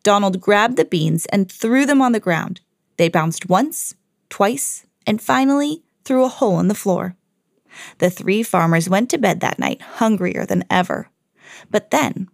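A woman storyteller hosting a podcast, reading a children's fairy tale expressively with clear enunciation. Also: mid-range voice, big pitch swings, a little slow, dry recording, studio quality.

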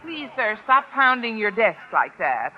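An American actress playing a wry, scheming high school teacher with a dry, mock-deferential manner in an old-time radio comedy. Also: female voice, sing-song, a little slow, slight background noise. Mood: placating. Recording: muffled vintage radio recording.

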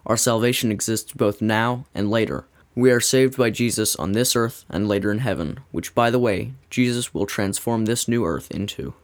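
A man reading a scripted devotional in a measured, earnest way. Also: on the low side, no room sound. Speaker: devotional reader.

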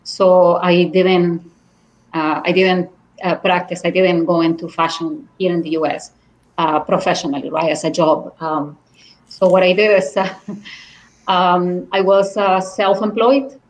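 A woman's voice: lowish voice, little pitch movement, unhurried, slight background noise, low-quality recording. A woman from Venezuela with a Venezuelan Spanish accent and Spanish as her first language, chatting casually on a podcast, laughing often. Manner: chatty, laughs often, adds tag questions. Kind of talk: casual chat.

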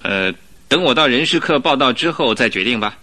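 An actor in a Mandarin radio drama playing a modest young accounts clerk, hesitant and easily astonished. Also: male voice, medium pitch, lively intonation, fairly quick, pushed and bright. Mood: modest, curious. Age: young adult.

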